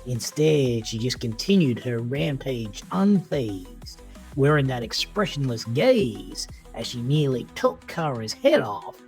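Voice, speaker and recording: A narrator delivers a scripted horror tale with suspense. The reading is slow and dramatic, with lively pitch movement over a clear music bed.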